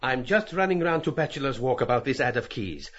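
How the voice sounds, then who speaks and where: A man's voice: mid-range voice, big pitch swings, brisk, fairly bright tone, husky, pitched above the performer's own voice. A man voicing a polite, mild advertising agent in a hurry, courteous and tentative, in a dramatised novel reading. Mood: polite, hurried. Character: polite, mild, hurried adman. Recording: dull, distant recording.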